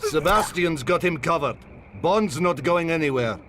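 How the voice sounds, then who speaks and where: A man's voice: fairly high pitch, animated, normal speed, loud music behind the voice, lightly acted. An actor playing a scheming, confident henchman in a video game. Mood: confident menace. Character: scheming male henchman directing an ambush, confident.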